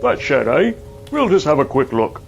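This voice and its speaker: A man's voice: mid-range voice, very animated, moderate pace, gravelly, faint music behind. A man playing a somber fire engine driver in an audio drama. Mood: matter-of-fact. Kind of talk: amateur audio drama acting.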